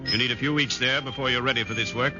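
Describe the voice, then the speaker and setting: An actor playing a clipped, authoritative commanding officer in a 1940s radio drama. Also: male voice, mid-range voice, steady tone, quick pace, lightly acted. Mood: formal, grave. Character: brisk, formal British commanding officer. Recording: muffled 1940s radio, loud music behind.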